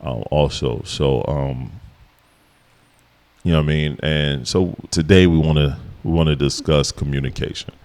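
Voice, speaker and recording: Deep deep voice guy cohosting a podcast, loose easygoing chat with lots of ums. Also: moderate pace. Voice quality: deep voice that carries. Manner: relaxed, rambling with fillers and laughs. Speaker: podcast co-host. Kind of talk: casual chat.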